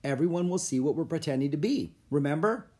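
A man voicing a bright, confident, carefree young girl in a children's storybook, cheerful and encouraging. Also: on the high side, expressive, a little fast, voice pushed up, close to an everyday voice. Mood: reassuring.

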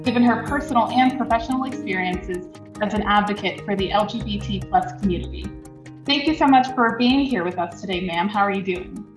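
A woman's voice: medium pitch, roomy sound. A woman hosting a podcast in a polite, formal way, reading prepared introductions and interview questions.

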